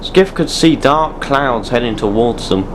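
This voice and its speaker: A man's voice: medium pitch, lively intonation, unhurried, noisy background. A man narrating a scripted adventure story for an amateur audio drama.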